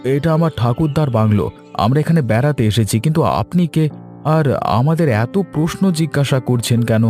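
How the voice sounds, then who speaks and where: Man playing a mellow, cautious young man. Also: mid-range voice, moderate pace, soft background music, close to an everyday voice. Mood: wary. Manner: hesitant, uneasy. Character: cautious, worried young man, reluctant grandson. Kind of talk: animated horror story acting.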